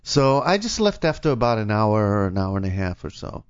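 A man's voice: on the low side, very animated, a little fast, smooth. A middle-aged man hosts a live radio talk show from a studio, talking in a loose, rambling way. He fills his sentences with "you know" and restarts. The audio is a little dull and muffled. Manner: rambling, hesitant, many fillers and restarts. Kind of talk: casual on-air banter.

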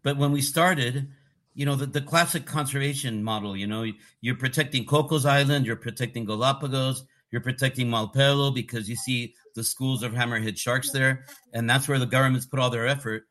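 A man's voice: mid-range voice, steady tone, a little fast, a bit bright. A man in his sixties with a Californian accent talks as an interview guest. He explains things earnestly and lands his points with emphasis. His voice sits in an ordinary middle register. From Costa Rica. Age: 60s. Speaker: guest, marine conservation scientist. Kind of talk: casual interview talk.

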